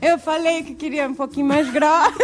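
A woman's voice: high voice, steady tone, fairly quick. A woman co-hosting a podcast, telling stories in a giggly way and acting out what people said. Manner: giggly storyteller, acts out quotes. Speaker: podcast co-host. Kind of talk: casual comedic chat.

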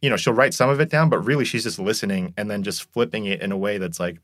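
A man's voice: fairly low pitch, fast talker, fairly bright tone, dry recording, clean studio sound. A man who grew up in Korea, a podcast guest chatting casually and rambling with lots of filler words. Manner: rambling, heavy use of "like" and "you know". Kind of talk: casual conversation.

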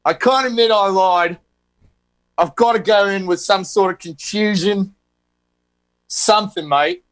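A man's voice: sits high, unhurried, a bit bright. A man co-hosting a radio show in casual, joking banter.

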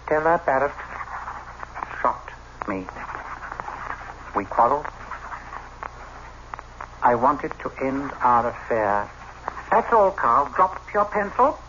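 A man's voice: mid-range voice, sing-song. An actor playing a vengeful, unhinged husband who coolly tells of his murder, theatrical and gloating, in old-time radio suspense style. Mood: obsessed, agitated. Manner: theatrical, gloating, deliberate, with dark chuckles. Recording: dull, noisy old radio recording.

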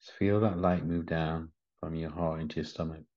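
A man leading a guided meditation in a gentle, soothing manner, with short unhurried instructions. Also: a bass voice, fairly even intonation. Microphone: video call. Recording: slightly muffled video call audio.

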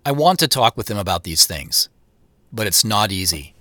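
An actor playing an introspective, guarded man who narrates his homecoming in the first person, measured and confiding, in an audio drama. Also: fairly low pitch, sing-song, pushed and bright, no echo. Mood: melancholy, guarded. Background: music under the narration.

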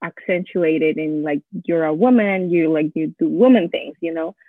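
A woman's voice: deep voice, dry recording. Spanish-accented woman guest, chatty DIY creator, dry thin phone-quality sound. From Latin America. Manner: animated, quick storytelling with many fillers. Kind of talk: casual interview chat. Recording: dry tinny phone-like line.

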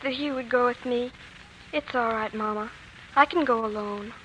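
A woman's voice: fairly high pitch, normal speed, sharp tone, rough recording. An actress playing a sensitive, fiercely loyal grieving schoolgirl in an earnest, emotional 1940s radio drama style. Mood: quiet, sorrowful. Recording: vintage 1940s radio, faint noise.

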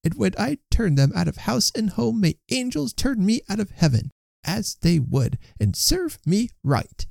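Storybook old wife played by a man: high, emphatic, kind-hearted, stands her ground. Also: big pitch swings, unhurried, gravelly, a little mellow, dry recording, clean studio sound, full character voice. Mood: impassioned, tearful pity. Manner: emphatic, scolding, repetitive. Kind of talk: audiobook character voice.

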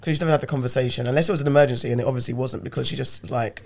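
A woman with a low, raspy voice, telling a story in casual chat with friends. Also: racing. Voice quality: low voice with a rasp. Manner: animated, dramatic storyteller.

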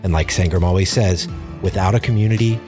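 A man reading a podcast's scripted opening as its announcer, in a smooth, measured way. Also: deep voice. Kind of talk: scripted show intro. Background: music bed.

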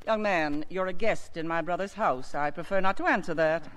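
An actress playing a starchy older maiden aunt, sly and disapproving, with a dry, prim delivery in 1950s radio drama style. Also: female voice, fairly low pitch, normal speed, very noisy, natural voice. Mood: tart, disapproving. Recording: echoing old radio broadcast.

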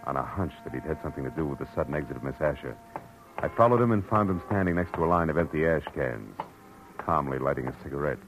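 An actor playing a wry, tough private detective in a 1940s radio drama, terse and clipped. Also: male voice, very deep voice, expressive, fast. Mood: wry narration. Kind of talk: 1940s radio acting, first-person noir narration. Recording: dull old radio recording, music behind.

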